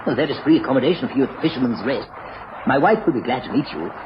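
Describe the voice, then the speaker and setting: A man's voice: medium pitch, animated, rapid-fire, natural voice. A man playing a cheerful, chatty retired English police superintendent turned country publican in a radio drama. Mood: eager. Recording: muffled, noisy old radio recording.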